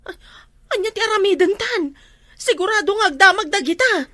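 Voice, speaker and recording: An actress with an Ilocano accent playing a defensive, bickering village wife in a comedy drama. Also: female voice, very high-pitched, animated, normal speed, a bit bright, no echo, clean studio sound. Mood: flustered, apologetic. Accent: Ilocano, northern Philippines.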